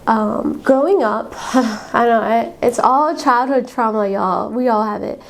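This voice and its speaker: A young woman hosting a podcast, telling personal stories in a candid, casual way. She has a high-pitched voice, fills her speech with "like" and "um", and laughs between her thoughts. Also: unhurried, rough recording. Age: young adult. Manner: candid and chatty, fillers like "like" and "um", laughs often. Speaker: podcast host.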